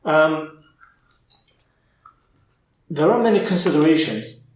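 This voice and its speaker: A man's voice: a little high, expressive, takes it slowly. A man from England, a podcast guest thinking aloud candidly, often restarting his sentences. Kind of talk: casual interview chat. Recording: roomy echo.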